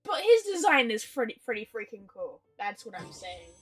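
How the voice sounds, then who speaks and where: A woman's voice: fairly high pitch, sing-song, normal speed, a bit bright, soft background music. A woman livestreaming a video game in casual, chatty, rambling and playful talk, with some loose swearing. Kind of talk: casual gaming stream chat.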